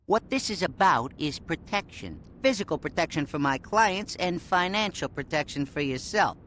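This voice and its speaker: A man's voice: a little high, animated, normal speed, pushed and bright, a little hiss or hum. An actor playing a slick, pushy male talent agent with glib sales patter in a video game cutscene. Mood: slick, businesslike.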